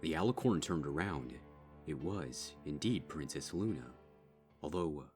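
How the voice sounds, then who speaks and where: A man reading a dark horror story as a dramatic scripted narration. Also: extremely low pitch. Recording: music behind.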